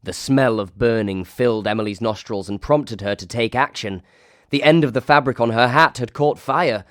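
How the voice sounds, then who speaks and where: A man with a Northern English accent reading a comic ghost story aloud, lively, lifting into high voices for the quoted characters. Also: normal speed, no echo, clean studio sound. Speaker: reader.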